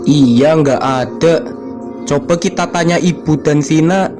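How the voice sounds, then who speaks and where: A protective young father in a horror cartoon, voiced by a man. He talks slowly and gently in a slightly high voice. Music runs beneath. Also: natural voice. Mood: worried. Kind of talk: cartoon voice acting. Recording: music playing behind.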